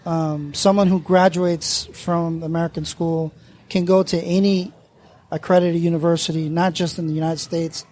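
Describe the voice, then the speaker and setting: A man's voice: fairly high pitch, level tone, normal speed, a little mellow. A man from Mexico, a guest on a round-table talk, explaining things thoughtfully in a casual way and laughing easily. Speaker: guest panelist.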